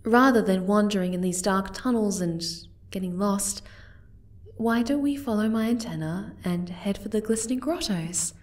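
A woman voicing a gentle, timid, caring young moth girl in an ASMR roleplay, soft-spoken and hesitant. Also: medium pitch, moderate pace, natural voice. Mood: warm, curious.

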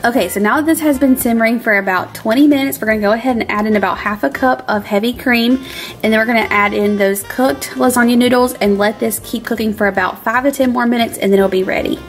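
A woman's voice: a little high, fast talker, faint music behind. A woman in her thirties hosting a home cooking vlog in a chatty, informal way, narrating casually as she goes. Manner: chatty, informal, laughs easily. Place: home kitchen.

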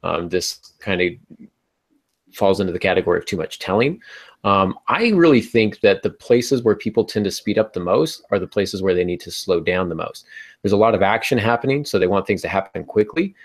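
A man's voice: deep voice, expressive, fairly quick. A middle-aged man hosting a casual panel discussion on writing, conversational and thinking aloud, on a video call with earbuds from a small home room. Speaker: podcast host. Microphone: earbud headset on a video call.

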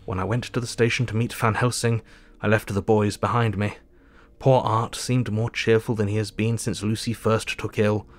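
An actor playing an earnest asylum doctor narrating his diary in measured, formal English. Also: lowish voice, close to an everyday voice. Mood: reflective.

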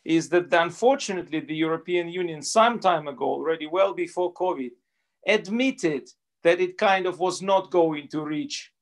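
Middle-aged man, slightly high voice. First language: Russian. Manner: earnest, argumentative, repeats words as he restarts. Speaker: panelist. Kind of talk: panel discussion remarks. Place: home room indoors. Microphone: video call.

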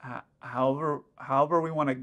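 A man's voice: medium pitch, fairly even intonation, a little fast, a bit bright, highs cut off. A man in his thirties, an airline pilot, explaining radio exchanges to camera in a casual, step-by-step way, recorded in a dim indoor room. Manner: explanatory, with frequent "let's say" asides.

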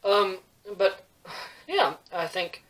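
A young man giving a casual movie review to camera in a bedroom, halting, rambling and self-deprecating. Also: high voice, sing-song, fairly bright tone, low-quality recording. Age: young adult. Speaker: host of a movie review show. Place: small bedroom, indoors.

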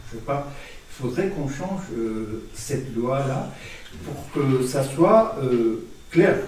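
A man from Iran speaking in a public discussion, measured and hesitant with many fillers, recorded by a far camera in a meeting room. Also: mid-range voice, expressive, darkish tone, heavy reverb, slight background noise. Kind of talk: debate contribution.

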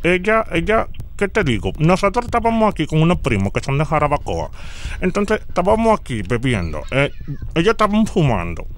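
A man's voice: a little high, wildly swooping pitch, a little fast, slight background noise. A man with a Dominican accent playing a nervous, rambling young man on a prank phone call. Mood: hesitant, confiding. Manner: hesitant, rambling, confiding. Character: nervous, rambling young man worried about a job drug test. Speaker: prank caller. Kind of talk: improvised prank phone call, casual chat.

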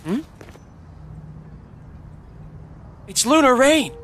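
A man's voice: very high voice, sing-song, moderate pace, a bit bright, soft background music, heavily acted. A man voicing an earnest, boyish young hero in a fantasy video game.

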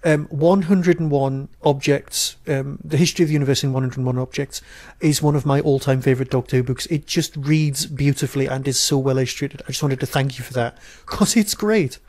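A man's voice: mid-range voice, brisk, few pauses, darkish tone. A man with a Geordie accent hosting a podcast interview, talking eagerly and tripping over the first words of his sentences. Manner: enthusiastic, repeats words when starting. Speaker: podcast host. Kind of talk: casual interview chat.

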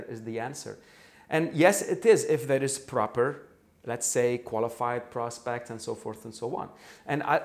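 A man in his forties whose first language is Arabic, a sales trainer telling an animated story in an interview, on a lapel mic in a studio. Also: medium pitch. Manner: animated, acts out anecdotes with sound effects. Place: studio set with orange backdrop.

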